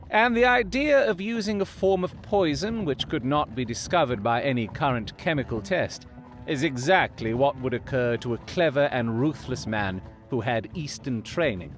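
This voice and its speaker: A man's voice: mid-range voice, fairly bright tone, close to an everyday voice. Brilliant Victorian detective, male actor, audio drama. Formal, exact, coolly confident, mid-range voice. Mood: approving, brisk. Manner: precise, theatrical, formal explaining.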